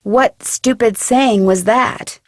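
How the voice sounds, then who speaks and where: A young amateur sleuth in a video game, courteous and inquisitive. Her voice sits a little high and is recorded dry, with a tactful, probing way of speaking. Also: slow pace, no echo. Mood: curious. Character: polite, inquisitive young female amateur detective.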